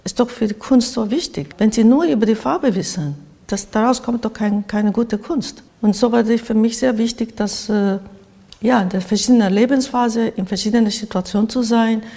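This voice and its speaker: An older woman from Japan with a Japanese accent, giving reflective interview answers haltingly as she searches for words. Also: fairly high pitch, warm tone. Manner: halting, searching for words in non-native phrasing. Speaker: interviewed artist. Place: art studio in Berlin, indoors. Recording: reverberant, echoey room.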